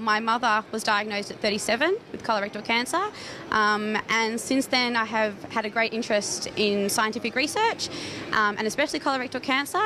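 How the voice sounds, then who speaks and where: A woman's voice: a little high, background noise. A woman, a medical researcher giving explanatory answers in a news interview.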